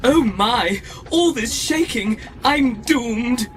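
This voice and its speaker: An actor playing a fussy, anxious, self-important golden droid with prim, formal manners in an audio drama. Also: male voice, very high voice, expressive, very slow, full character voice. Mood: panicked, fretful.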